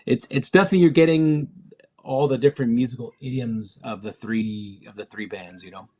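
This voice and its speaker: A man from Mexico City who moved to Canada as a teenager, speaking as a musician being interviewed, reflective and halting as he thinks. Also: mid-range voice, darkish tone. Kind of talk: casual conversational interview.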